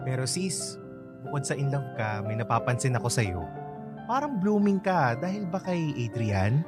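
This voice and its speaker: An actor playing an excitable young Filipino man in a Taglish radio drama, campy, gossipy and dramatic. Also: medium pitch, normal speed, lightly acted. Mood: teasing. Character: Eugene, campy, excitable young male friend. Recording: dry studio, faint music behind.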